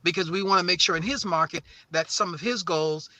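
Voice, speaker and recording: A man with a slightly high, very sharp and forceful voice, speaking as a guest in an interview. He tells stories conversationally and earnestly, leaning on repeated phrases to motivate. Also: fairly quick. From born in St. Croix, raised in New Orleans. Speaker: guest, recording artist and label co-founder.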